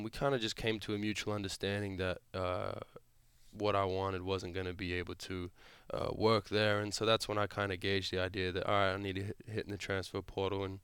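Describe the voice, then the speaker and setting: A young man with an Australian accent, a guest on a podcast, answering in a relaxed, halting way with lots of fillers. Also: deep voice, clear, resonant tone, dry recording. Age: young adult. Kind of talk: podcast interview.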